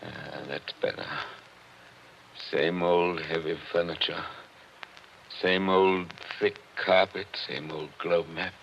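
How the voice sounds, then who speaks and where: An actor playing a grave, brooding lawyer in a 1940s radio drama, earnest and clipped. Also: male voice, low voice, flat delivery, takes it slowly, vocal fry, a bit bright, full character voice. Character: grave, brooding male New York lawyer. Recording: muffled vintage radio, faint noise.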